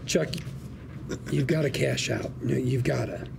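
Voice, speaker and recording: A man acting a struggling, street-smart lawyer and younger brother at a drama table read, colloquial, halting and pleading. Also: mid-range voice. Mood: hesitant, pleading. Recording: clearly noisy room recording.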